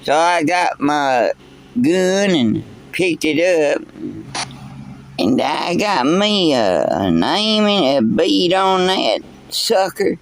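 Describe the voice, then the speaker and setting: A drawling old backwoods codger, as a man puts him on. He talks slow and a touch high, meandering through his yarn and stumbling over the same words again and again. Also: very animated, gravelly, a little hiss or hum, full character voice. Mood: yarn-spinning. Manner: rambling, folksy, stumbling over repeated words. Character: old backwoods man spinning a tall tale. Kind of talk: character storytelling.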